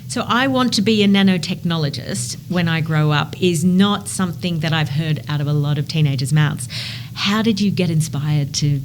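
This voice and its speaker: A woman's voice: sits low, normal speed, a little hiss or hum. A woman hosting a podcast, asking friendly, curious follow-up questions in a conversational way. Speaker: podcast host. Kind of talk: conversational interviewing.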